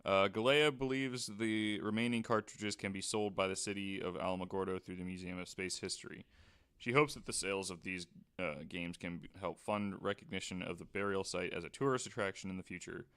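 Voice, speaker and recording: A man's voice: deep voice, steady tone, a little fast, a bit bright, clean tone. A man hosting a podcast, reading a story aloud from notes, stumbling over names and breaking off for jokes. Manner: reads from notes, joking asides, stumbles on names. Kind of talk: casual chat, reading aloud.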